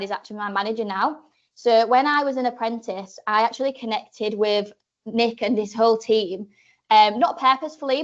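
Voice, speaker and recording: A woman's voice: fairly high pitch, highs cut off. A woman in her twenties with a Yorkshire accent gives an informal, chatty presentation, rambling a little with fillers and restarts. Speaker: presenter giving a talk to apprentices.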